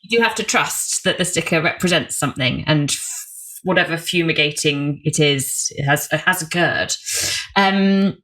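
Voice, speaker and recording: A woman's voice: low-pitched, moderate pace, fairly bright tone. A woman hosting a podcast, asking questions in a chatty, wry and self-deprecating way. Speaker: podcast host. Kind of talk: casual interview chat.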